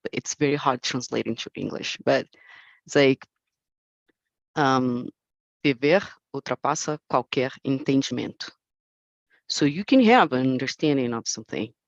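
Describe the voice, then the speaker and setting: Brazilian woman, gravelly earnest panelist. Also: a bass voice, dry recording, muffled recording. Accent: Brazilian Portuguese. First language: Portuguese. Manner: earnest, searching for English words. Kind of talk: panel discussion.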